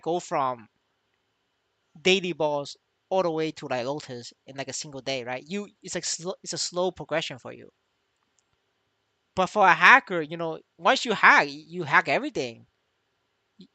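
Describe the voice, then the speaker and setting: Bright-voiced man streaming, stammering. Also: on the high side, lively intonation, moderate pace, no room sound. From Vietnamese. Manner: stammering, repeats words, swears freely. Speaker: game streamer. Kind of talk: casual livestream chat.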